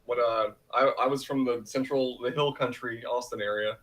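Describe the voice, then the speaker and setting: A man's voice: medium pitch, fairly even intonation, normal speed, fairly bright tone. A man from Texas chatting casually as a podcast guest, with a joking manner. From Texas, United States. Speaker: podcast guest, band member. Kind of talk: casual chat. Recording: distant mic with background noise.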